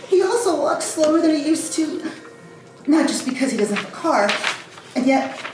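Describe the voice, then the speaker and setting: A woman playing a tender, grieving mother in a theatrical reading, halting and wistful. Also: a little high, natural voice. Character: grieving mother, tender, bravely holding herself together. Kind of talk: theatrical dramatic stage reading. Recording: echoey room, distant mic, music underneath.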